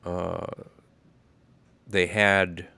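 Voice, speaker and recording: A man hosting a casual solo livestream, talking in a slow, rambling way with many fillers, a little congested. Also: sits low, flat delivery, creaky, pushed and bright, clear, resonant tone, dry recording. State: congested after illness. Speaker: livestream host.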